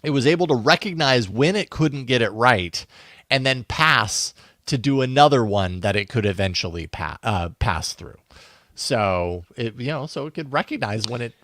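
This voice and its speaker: A man's voice: medium pitch, a bit bright, no room sound. A man with an American accent co-hosting a tech podcast, talking in a casual, musing, conversational way. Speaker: podcast host.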